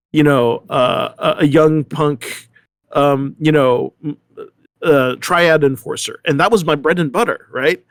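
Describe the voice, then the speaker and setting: A man's voice: on the high side, dry recording, clean studio sound. A middle-aged man, an actor and director, speaking casually in a panel discussion.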